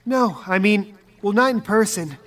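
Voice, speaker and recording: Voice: medium pitch, measured pace, voice pushed up, close to an everyday voice. A game master voicing a skittish, stammering companion character in a tabletop game. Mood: hesitant. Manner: stammering, flustered.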